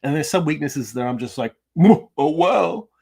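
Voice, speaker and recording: Gravelly-voiced chatty middle-aged man. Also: a little high, lively intonation, measured pace, warm tone. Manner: chatty, self-deprecating, frequent restarts and ums. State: gravelly from lack of sleep. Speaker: artist hosting a livestream. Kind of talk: casual livestream chat reading viewer comments. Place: home room.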